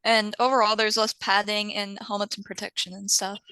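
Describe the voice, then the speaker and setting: Bright young woman explaining casually. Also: medium pitch, little pitch movement, fairly quick, smooth, dry recording, poor audio. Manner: casual, loose with fillers. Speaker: student. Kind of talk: casual explanation on a video call.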